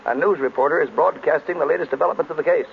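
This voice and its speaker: Quick gravelly male radio drama narrator. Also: fairly high pitch, slight background noise. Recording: very tinny old radio, loud music.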